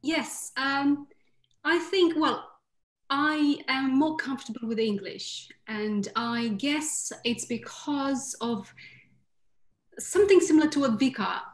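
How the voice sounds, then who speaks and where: A woman's voice: high-pitched, slow pace. A young woman from Moldova, an author and native Romanian speaker, speaking earnestly with many fillers on a video call from home. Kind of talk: panel discussion on video call. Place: home room with bookshelves. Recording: echoey room.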